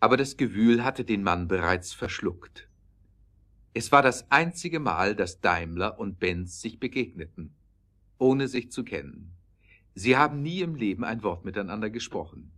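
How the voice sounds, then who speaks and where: Man, narrator, lowish voice, scripted audio drama storytelling. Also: lively intonation, moderate pace, fairly bright tone.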